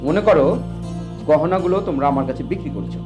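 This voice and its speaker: Actor as a proud, scolding uncle in echo. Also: male voice, on the high side, quick pace, natural voice. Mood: indignant. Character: proud, scolding family uncle, indignant at offered help. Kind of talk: TV drama acting. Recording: echoey room, music behind.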